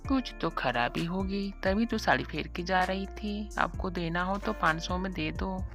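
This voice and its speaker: A woman playing a crafty, smug village sister in an animated moral story, with a sly, insistent manner. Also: fairly low pitch, measured pace, music in the background, lightly acted. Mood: bargaining.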